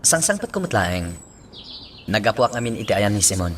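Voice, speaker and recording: An actor playing an earnest, firm and direct police chief in an Ilocano radio drama. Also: male voice, fairly low pitch, big pitch swings, brisk, fairly bright tone, slight background noise.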